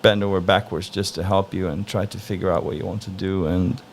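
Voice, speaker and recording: Gravelly low-voiced man, relaxed guest. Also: slight background noise. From Norway. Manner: relaxed, thoughtful, frequent "uh" pauses. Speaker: interview guest. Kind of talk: casual interview answers.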